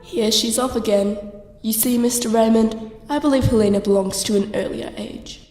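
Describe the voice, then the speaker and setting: A woman's voice: on the high side, fairly even intonation, normal speed, a little mellow, faint music behind, natural voice. A young woman acting in an audio drama as a blunt, suspicious, street-wise friend, with a brash, matter-of-fact manner. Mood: sceptical. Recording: echoey room with faint noise.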